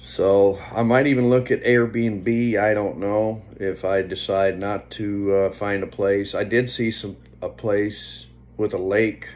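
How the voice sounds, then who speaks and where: A man in his fifties chatting casually to a phone at home, unhurried and meandering, often trailing off. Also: on the low side, steady tone, darkish tone. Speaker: vlogger. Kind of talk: casual rambling vlog chat. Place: indoors, small room at home. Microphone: phone at arm's length. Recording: faint background hum on phone recording.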